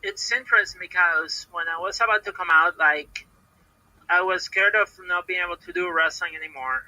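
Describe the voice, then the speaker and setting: Halting Puerto Rican woman, bright tense voice. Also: lowish voice, no room sound, poor audio. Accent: Puerto Rican Spanish. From Puerto Rico. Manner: hesitant, halting, many repeated words and fillers. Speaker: professional wrestler, podcast guest. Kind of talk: casual interview answers.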